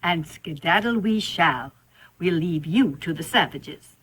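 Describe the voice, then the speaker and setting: A woman playing a kindly, reassuring nursemaid in a children's storybook play, gently instructing and indulgent. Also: fairly low pitch, lively intonation, a little slow, slightly rough, heavily acted, low-quality recording. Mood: kindly, instructive.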